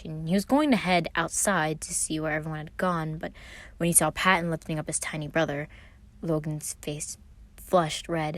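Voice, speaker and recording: A woman reading fan fiction aloud as an expressive scripted story narration. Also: sits low, no echo.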